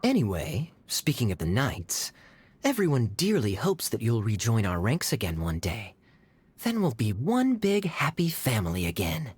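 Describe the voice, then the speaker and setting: A man's voice: medium pitch, huge pitch swings, normal speed, darkish tone, dry recording. A man acting a suave, teasing, silver-tongued young cavalry captain with a theatrical manner in a video game. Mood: flattering. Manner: smooth-talking, theatrical, teasing. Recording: background music under voice.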